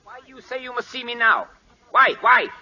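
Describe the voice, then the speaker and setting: High-voiced imperious king. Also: flat delivery, normal speed, gravelly, pushed and bright, exaggerated voice. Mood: irritated, curt. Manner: clipped, commanding broken English. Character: proud, imperious, hot-tempered Siamese king. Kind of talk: 1940s radio acting. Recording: dull old radio, music behind.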